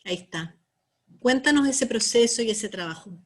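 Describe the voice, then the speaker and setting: A woman hosting an interview who calmly and gently prompts her guest to tell the story behind a piece of work. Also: a little high, normal speed. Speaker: interviewer host. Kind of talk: interview questions.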